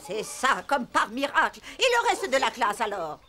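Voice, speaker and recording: A woman playing an excitable, prim cartoon schoolteacher with a theatrical, questioning manner. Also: a little high, moderate pace, music in the background. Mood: sceptical. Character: adult female schoolteacher, excitable and suspicious. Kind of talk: French children's cartoon acting.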